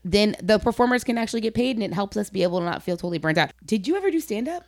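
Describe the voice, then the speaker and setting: A woman's voice: medium pitch, lively intonation, rapid-fire, darkish tone, dry recording, clean studio sound. A woman chatting casually and candidly as an interview host, with an earnest, informal way of talking. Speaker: podcast host and comedy performer.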